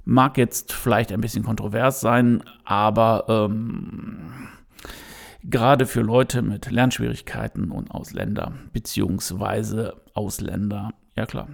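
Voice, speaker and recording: A man's voice: on the low side, creaky. A man hosting a podcast, giving his opinion unscripted and speaking straight to his listeners. He hesitates a lot, with many fillers and restarts, but stays earnest. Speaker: podcast host.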